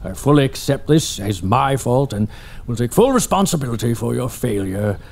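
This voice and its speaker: A man voices a domineering old scholar in an audio drama, gravelly and husky, his pitch swinging widely. He talks down to everyone with pompous cruelty, and faint music plays underneath. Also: big pitch swings, moderate pace. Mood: cold contempt. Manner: pompous, cruel, bursts into manic shouting. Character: obsessed greedy old male archaeologist, tyrannical.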